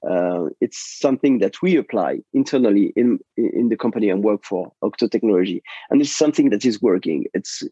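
A French man with a French accent, a guest in a technical interview who is losing his voice and speaks haltingly with many fillers and restarts. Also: on the low side, lively intonation, mellow, breathy edge, dry recording, lo-fi sound. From France.

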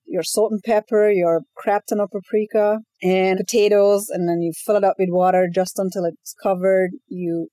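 A woman originally from Hungary, a guest on a casual interview podcast, explaining things step by step in a lively way. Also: medium pitch, normal speed, hardly any pauses, no echo. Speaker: guest, brand agency co-founder.

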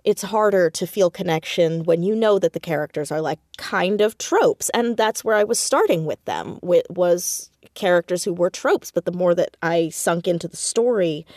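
A woman's voice: on the low side, darkish tone, dry recording. Woman chatting in a dry, close recording. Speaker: podcast guest, audio drama writer and creator. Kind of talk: casual interview chat about own writing.

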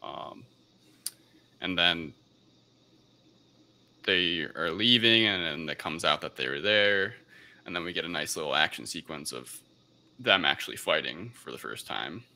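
Deep-voiced man with a very sharp, forceful sound. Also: animated. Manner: halting, rambling recounting. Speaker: podcast host. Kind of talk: casual film review discussion.

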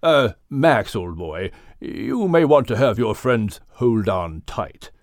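A man voicing a genial, gentlemanly whale for an audiobook, with a British accent and polite, old-fashioned manners. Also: mid-range voice, animated, takes it slowly, no room sound, studio quality. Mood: genial, courteous. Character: genial, courteous upper-crust male whale. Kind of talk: audiobook character acting.

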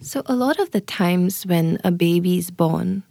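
An earnest woman doula talking through birth and motherhood, pausing to ask "right?" as she goes. Her voice sits a touch low and is recorded dry and close, with no room sound. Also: expressive, creaky, warm tone, dry recording. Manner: earnest, often checks in with "right?" and "you know". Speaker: doula, guest. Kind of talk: explanatory interview talk.

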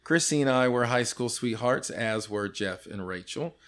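Middle-aged guy hosting a chatty podcast, goofy and relaxed, mid-pitched voice, laughs a lot. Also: moderate pace. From California. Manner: jokey, loose, laughs often. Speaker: podcast host. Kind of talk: casual podcast chat.

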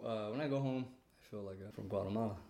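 A man's voice: on the low side, very fast, warm tone, slight background noise. Man chatting in an echoey room. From United States. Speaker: interviewee. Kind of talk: casual conversational interview answers.

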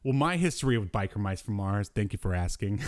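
A man's voice: on the low side, lively intonation, brisk, darkish tone, dry recording, clean studio sound. A man hosting a podcast, chatting casually in a joking, rambling, informal way. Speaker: podcast host. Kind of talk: casual pop-culture podcast banter.

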